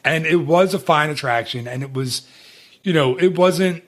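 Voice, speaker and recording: A man's voice: on the high side, normal speed. A man hosting a podcast in casual chat, talking in a chatty, rambling way with many restarts. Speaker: podcast host.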